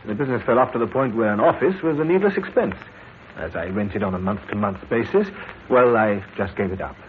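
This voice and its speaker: An actor playing a smooth, evasive suspect, overly cooperative and slightly stammering, in a tinny old radio drama recording. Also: male voice, lowish voice, expressive, fairly quick, a little hiss or hum, lightly acted. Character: smooth evasive male suspect, nervous under questioning.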